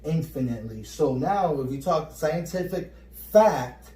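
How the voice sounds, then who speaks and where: A Puerto Rican man co-hosting a casual spiritual chat, energetic and repeating words as he gets going. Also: a little high, a little slow. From Puerto Rico. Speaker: podcast co-host. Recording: roomy echo, mic at a distance.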